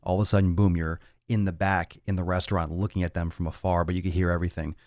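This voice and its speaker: Fitness podcast host, male, very low voice, blunt and profane, dry close mic. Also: flat delivery, quick pace, darkish tone, no room sound. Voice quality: raspy on some days. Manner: blunt and profane, rambling with restarts. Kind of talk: casual solo talk answering listener chat.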